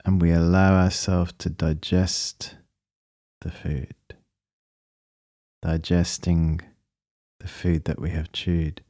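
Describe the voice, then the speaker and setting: A man leading a guided meditation, speaking in a calm, measured and gently instructing manner. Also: low-pitched, no room sound, studio quality.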